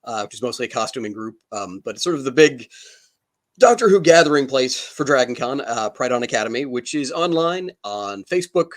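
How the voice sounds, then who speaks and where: Male panelist, medium pitch, casual, wry and self-deprecating, speaking over a video call. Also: animated, fairly quick. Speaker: panelist, freelance artist. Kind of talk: casual chat.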